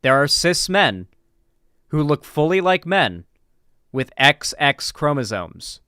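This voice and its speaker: A man with a clear, smooth voice in a dry, close recording. He talks off the cuff like a streamer reacting to something, informal and emphatic, restarting phrases and dropping swear words. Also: on the high side, big pitch swings, slow, bright, forceful tone, dry recording. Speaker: political commentary streamer. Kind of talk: casual reaction commentary, unscripted.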